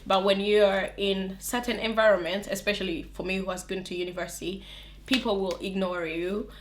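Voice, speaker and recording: Brief: young woman with an accent, animated vlogger, laughs and restarts a lot, talking to camera. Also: medium pitch, brisk, background noise. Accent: non-native English accent. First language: English counts as mother tongue, not from England or America. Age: young adult. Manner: chatty and animated, laughing often, with many restarts. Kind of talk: casual talk to camera.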